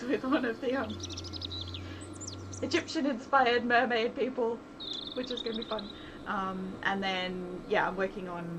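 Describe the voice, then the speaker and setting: A woman's voice: medium pitch, creaky. A woman livestream host chatting casually while she works, rambling and often trailing off mid-thought. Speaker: livestream host, visual novel developer. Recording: loud background noise, amateur livestream.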